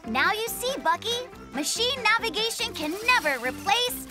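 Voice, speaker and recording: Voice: extremely high pitch, very animated, moderate pace. An actor voicing a careful, sensible young boy polar bear cub in a children's cartoon, earnest and a little prim, with music behind. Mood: smug.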